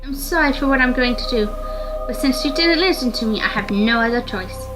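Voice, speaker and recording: A woman's voice: sits high, expressive, brisk, music in the background, voice pushed up. A woman voicing a hot-tempered, resolute young woman in a visual novel, intense and scolding. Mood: anxious, resolute.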